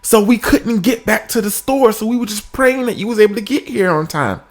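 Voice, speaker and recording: A man's voice: sits high, fairly quick, pitched above the performer's own voice. A man voicing a polite, grateful and insistent woman customer in a comic story. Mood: relieved. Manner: polite, pleading.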